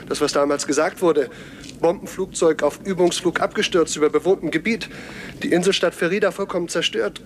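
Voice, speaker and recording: An actor playing an agitated, suspicious investigator in a 1970s German radio play, halting and repeating himself as he presses his questions. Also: high voice, slight background noise, natural voice. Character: agitated, suspicious male investigator demanding the truth.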